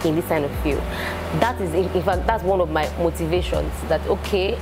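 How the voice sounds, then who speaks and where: A young woman with a Nigerian accent talks through her story in an animated, repetitive way, her voice sitting a little low. She is seated in a shoe showroom, with music playing under her. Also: fairly quick. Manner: animated storytelling with repeated phrases. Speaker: shoemaker and entrepreneur. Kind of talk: casual interview chat.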